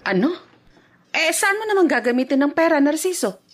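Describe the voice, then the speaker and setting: A woman's voice: sits high, very animated, fairly quick, soft background music. A woman playing a worried middle-aged mother and long-suffering wife in a Filipino radio drama, with a Filipino accent. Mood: dismayed, reluctant.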